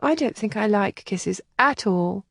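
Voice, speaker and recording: A woman narrator voicing a shy, distressed young woman who speaks in a breathy, trembling, often whispered voice. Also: mid-range voice, expressive, a little slow, no echo, clean studio sound. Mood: whispery. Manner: pleading and anxious. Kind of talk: audiobook character voicing.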